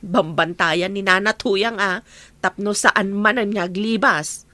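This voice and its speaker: A woman's voice: medium pitch, slow, bright, forceful tone, no echo. A woman with a northern Philippine accent playing a chattering, exasperated village gossip in an audio comedy. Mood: gossipy, exasperated. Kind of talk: comedy audio drama acting.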